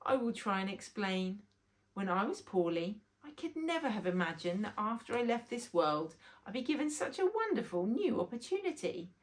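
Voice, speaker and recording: A woman's voice: mid-range voice, very animated, normal speed, close to an everyday voice. A woman voicing a kindly, earnest old grandad who is now a talking bee in a children's storybook.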